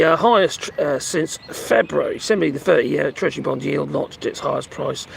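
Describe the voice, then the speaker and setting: A man hosting a casual live stream, reading news aloud and commenting in a rambling, halting way, his voice going hoarse. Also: fairly high pitch, a little hiss or hum. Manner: rambling, halting, many fillers and restarts; talks to his pets between remarks. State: losing his voice. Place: at home with animals nearby.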